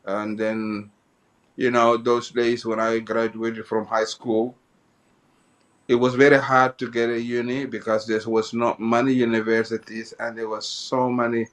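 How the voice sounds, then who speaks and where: A man from Somalia, a guest in an interview telling his life story, often halting and repeating phrases as he goes. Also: mid-range voice, flat delivery.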